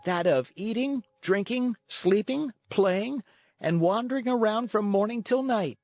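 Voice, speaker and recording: Narrator doing a high cheeky puppet boy from a storybook, bratty and defiant, really animated, music in it. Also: unhurried, no room sound. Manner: cheeky, petulant. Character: Pinocchio, defiant impudent boy wooden marionette.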